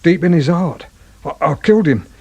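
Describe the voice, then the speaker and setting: An actor playing a muddled, frightened suspect in a radio drama, halting and pleading, with an English accent and a husky, rough voice. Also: male voice, on the high side, animated, dark tone, muffled recording. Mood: anxious confessing. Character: frightened, muddled male petty suspect confessing to a killing.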